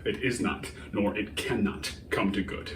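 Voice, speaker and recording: A man playing a grieving, embittered prince in a theatrical Shakespearean soliloquy, halting and declamatory, moving between hushed brooding and anguished outcry. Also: lowish voice, fairly even intonation. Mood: bitter grief. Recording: roomy, distant microphone, faint noise.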